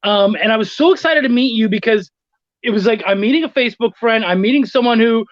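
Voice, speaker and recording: A man's voice: extremely high pitch, fast, no room sound. Man chatting, dull dry recording. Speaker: guest, freelance writer and editor. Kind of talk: casual anecdotal chat.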